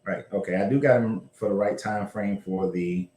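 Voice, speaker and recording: A man's voice: lowish voice, normal speed, mellow. A man hosting a casual history discussion, talking loosely with some swearing and correcting himself as he goes. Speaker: show host. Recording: roomy echo.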